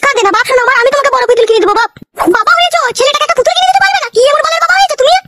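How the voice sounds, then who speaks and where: একজন নারীর অভিনয়ে খুব চিকন গলার আদুরে গ্রাম্য মা. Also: female voice, very high-pitched, racing, pushed and bright, dry recording. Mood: scolding. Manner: coaxing, plaintive. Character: doting, scolding village mother of a small boy. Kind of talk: comedy cartoon dubbing.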